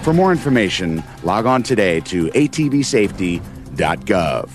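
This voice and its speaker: Low-voiced man reading a safety ad. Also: very animated, normal speed, soft background music. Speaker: public service announcer. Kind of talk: scripted safety ad.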